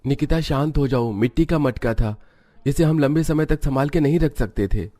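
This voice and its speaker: A man's voice: on the high side, normal speed, warm tone, natural voice. Man acting a cartoon family man. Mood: consoling. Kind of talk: scripted cartoon dialogue.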